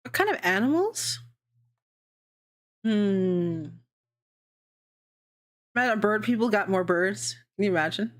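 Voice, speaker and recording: A woman's voice: mid-range voice, lively intonation, takes it slowly, long pauses. A young adult woman hosting a casual livestream, chatting in a rambling way, swearing freely and laughing often. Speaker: VTuber streamer hosting a worldbuilding session.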